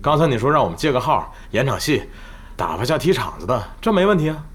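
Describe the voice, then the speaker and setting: An actor playing an earnest, plain-spoken young gamer in an audio drama. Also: male voice, medium pitch, sing-song, fast, husky. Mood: puzzled, reluctant. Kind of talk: Chinese audio drama acting.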